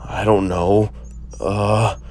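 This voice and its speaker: A man's voice: on the low side, flat delivery, very slow, darkish tone, slight background noise. A man playing a nervous, tired new underling in a motion comic, hesitant and stammering. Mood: nervous, unsure. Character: nervous, tired, timid young male newbie underling. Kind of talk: scripted motion comic voice acting.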